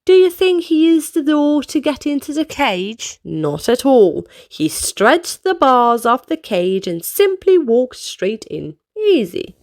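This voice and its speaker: A woman's voice: high-pitched, big pitch swings, takes it slowly, few pauses, darkish tone. A woman reading storybooks aloud to children expressively, addressing young listeners with questions and exclamations.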